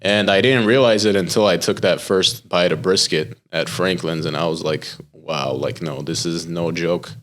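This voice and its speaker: Young man, very deep voice, American, casual interview talk, relaxed explaining. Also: moderate pace, hardly any pauses, a little hiss or hum. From United States. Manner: relaxed, explanatory, with filler words and laughs. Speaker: chef and pitmaster, podcast guest.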